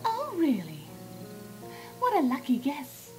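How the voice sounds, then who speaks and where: An elegant, coy cartoon unicorn lady acted by a woman, speaking in a high and animated voice. She is genteel and flirtatious, with music clearly playing behind her. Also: slow pace, exaggerated voice. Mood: flirtatious, poised. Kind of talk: dramatic fan fiction audio reading.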